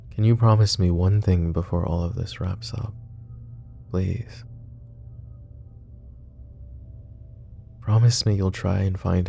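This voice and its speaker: A man playing a gravelly, lovesick mafia boss. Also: low voice, exaggerated voice. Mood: tender farewell. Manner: soft, intimate, drops to whispers; wry, self-mocking humor. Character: lovesick brooding young male mafia boss. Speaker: voice actor. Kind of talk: ASMR roleplay.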